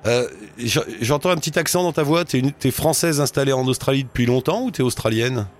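A man hosting a radio show, asking relaxed, chatty questions at a studio mic. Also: medium pitch, fairly quick. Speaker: radio host. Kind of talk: radio interview.

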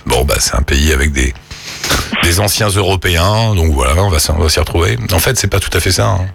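A man's voice: low voice, expressive, a little fast, vocal fry, soft background music. A man interviewing a guest in a chatty, exclamatory way, often leading with his own opinions. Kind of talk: casual interview.

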